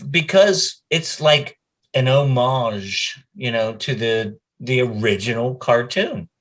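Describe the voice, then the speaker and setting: A man's voice: mid-range voice, slow pace, fairly bright tone. A middle-aged man, a voice actor, telling anecdotes casually in an interview and acting out bits of quoted speech as he goes.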